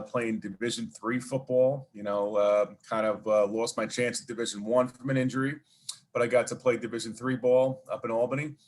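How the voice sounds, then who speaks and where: Brooklyn guy rambling thru his stories, lots of uh and you know, mid-pitched voice. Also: slight background noise. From New York. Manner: rambling, many fillers and asides. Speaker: guest. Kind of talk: casual storytelling interview.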